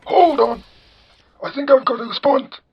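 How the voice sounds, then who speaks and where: A man's voice: very high-pitched, moderate pace, vocal fry, slightly rough, muffled recording, pitched above the performer's own voice, exaggerated voice. A man voicing a cranky, indignant old synth with a blustering, exaggerated generic old man voice in a comedy skit. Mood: menacing. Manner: blustering, repetitive, scolding.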